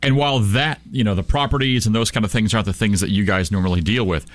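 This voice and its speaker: A man's voice: lowish voice, fast, dry recording. A man co-hosting a radio show, bantering casually, joking and prompting with questions. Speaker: radio co-host. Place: radio studio.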